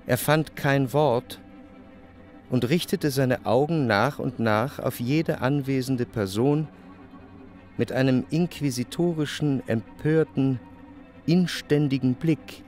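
An actor playing a reflective, well-to-do narrator in a radio play, telling of society life in measured, deliberate phrases. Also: male voice, mid-range voice, a little mellow, lightly acted. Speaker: first-person narrator of a literary radio drama. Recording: dry studio with clear music. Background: music.

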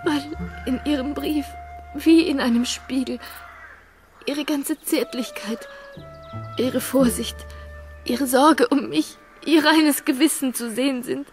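High-pitched, hurt young lady in love. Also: fairly even intonation, slow, long pauses, a little mellow, faint music behind. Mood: tender, earnest. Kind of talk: German radio drama acting of a classic novel.

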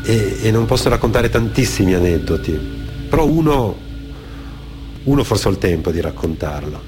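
A man's voice: fairly low pitch, animated, normal speed. A man from Genoa performing dramatic radio storytelling, in a reflective manner that sometimes halts and repeats phrases. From Genoa, Italy. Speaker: theatre storyteller, author-performer on a radio theatre programme. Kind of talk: dramatic storytelling, partly off-the-cuff anecdotes and partly read testimony. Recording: music behind the voice. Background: music.

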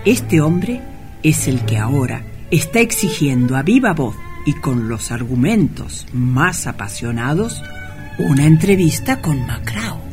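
A man's voice: on the high side, very animated, unhurried, darkish tone, soft background music. A man acting as a solemn, emphatic narrator of a melodramatic radio play.

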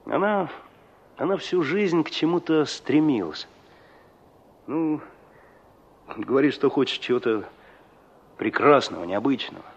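A gruff but good-natured village forest warden in an old Soviet radio play. His middle-pitched voice is plain-spoken and conversational, on a slightly muffled recording. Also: expressive, measured pace, long pauses, heavily acted. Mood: reflective, explaining.

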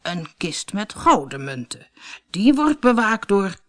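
A woman voicing an old witch in a storybook, coaxing and quick to anger. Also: mid-range voice, big pitch swings. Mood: coaxing, instructing. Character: old witch woman, coaxing, then furious when refused. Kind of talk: storybook character voice.

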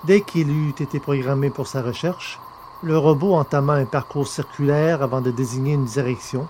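A man's voice: mid-range voice, steady tone, normal speed, a little mellow, a little hiss or hum. Man narrating a science fiction audio novel. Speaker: podcast host and narrator.

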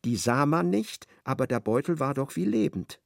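A man's voice: mid-range voice, big pitch swings, normal speed, gravelly, no room sound, studio quality. A man narrating a literary radio drama. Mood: measured.

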